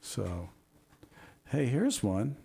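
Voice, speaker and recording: A man hosting a show, reading out corny jokes with a casual, jokey manner, laughing at his own puns while losing his voice and clearing his throat. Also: mid-range voice, wildly swooping pitch, drawn-out words, warm tone. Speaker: show host.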